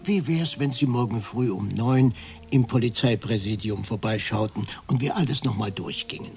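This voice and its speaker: An actor playing a hardboiled private detective, narrating his case dryly in a gravelly, husky voice for a radio crime drama. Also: mid-range voice, moderate pace, a little mellow, close to an everyday voice. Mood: firm.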